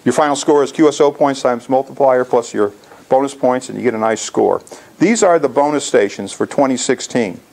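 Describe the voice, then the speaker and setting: A man's voice: mid-range voice, a little fast, creaky, a little mellow, low-quality recording. Older American man giving an informal presentation. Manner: casual, explanatory. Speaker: contest organizer presenting to a club. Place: lecture hall, indoors.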